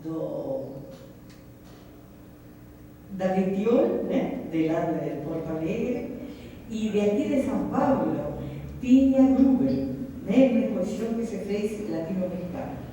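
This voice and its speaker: A woman's voice: mid-range voice, expressive, slow, pauses often, dark tone, a little hiss or hum. Argentine woman talking in an echoey hall. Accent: Argentine Spanish. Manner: rambling, mixes Spanish into Portuguese. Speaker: guest artist. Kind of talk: conversational talk to an audience. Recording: echoey hall, mic far off.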